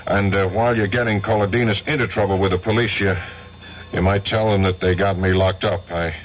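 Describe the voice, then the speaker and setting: An actor playing a cocky, tough-talking American undercover agent in a 1970s radio drama. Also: male voice, deep voice, fairly even intonation, fairly quick, natural voice. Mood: wry. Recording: noisy muffled old radio broadcast recording.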